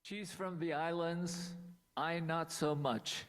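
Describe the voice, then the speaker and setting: A man's voice: fairly high pitch, fairly even intonation, takes it slowly, a bit bright. A man from Pennsylvania giving a talk over a video call as a storyteller, lingering on single words, with playful asides. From Pennsylvania, USA.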